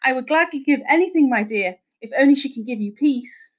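A woman's voice: high-pitched, animated, a little fast, voice pushed up. A woman voicing a meek, anguished widowed husband, devoted and obedient, pleading in a whimper as in a story reading.

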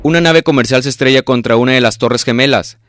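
A man narrating a scripted radio drama in a solemn, measured announcing manner. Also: medium pitch, noisy background.

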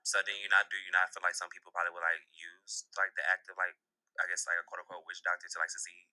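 Low bright-voiced male host, very fast, rambling casual questions. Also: flat delivery, poor audio. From Jamaican American. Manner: rambling, heavy filler words. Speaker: interviewer. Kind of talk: casual interview chat.

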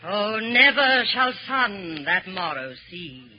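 A woman's voice: medium pitch, sing-song, slow pace, slight background noise. An actress playing a ruthless, ambitious noblewoman in theatrical 1940s radio Shakespeare, intense and commanding. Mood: scheming, commanding. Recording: dull lo-fi 1940s radio recording.

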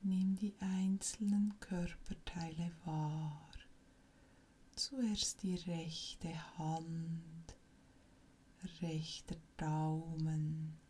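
A woman with a low, mellow voice guides a relaxation exercise extremely slowly. Her delivery is soft, breathy and close to a whisper, with long pauses between short phrases. She sounds calm and soothing throughout. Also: a little hiss or hum. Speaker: yoga nidra instructor.

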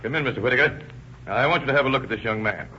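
An American actor playing a terse, authoritative police lieutenant in an old-time radio crime drama. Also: male voice, mid-range voice, racing, sharp tone, close to an everyday voice. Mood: businesslike. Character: brisk, no-nonsense male police lieutenant leading a murder investigation. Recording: muffled lo-fi old radio recording, faint noise.

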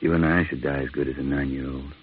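Very deep-voiced solemn priest. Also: male voice, little pitch movement, fast, soft background music. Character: calm, sombre male priest, philosophical. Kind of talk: 1950s radio drama acting. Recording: muffled old radio recording.

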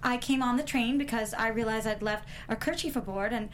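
A woman plays a spirited, rebellious young heiress in a period drama read aloud. Her voice sits a little high, eager and sociable, over faint room noise. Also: brisk, natural voice. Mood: defensive.

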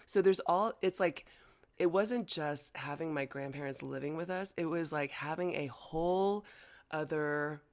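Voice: mid-range voice, unhurried. An American-born speaker whose first language was Chinese, chatting casually with many fillers and easy laughter. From United States. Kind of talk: casual conversation.

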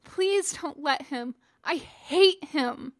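A woman's voice: lively intonation, slow, dry recording. A woman voicing a frightened young boy apprentice who sobs and pleads with his master. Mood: pleading. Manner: tearful, pleading, high and pressing.

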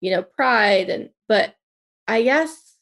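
A woman's voice: on the high side, expressive, slow, fairly bright tone. A woman guest on a podcast, speaking casually and tentatively, often searching for the right word. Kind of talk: casual conversation.

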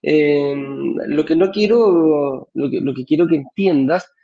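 A man co-hosting a live stream over a video call, explaining things in a measured way. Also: on the high side, warm tone. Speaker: co-host, sales director. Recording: echoey, dull video call audio.

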